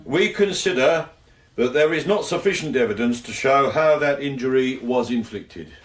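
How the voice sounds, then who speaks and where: A man's voice: medium pitch, a crowd in the background, natural voice. A man playing a formal, solemn jury foreman in a radio drama. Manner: formal, deliberate. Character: solemn male jury foreman delivering verdict. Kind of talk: British radio drama acting. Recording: echoey, distant from microphone.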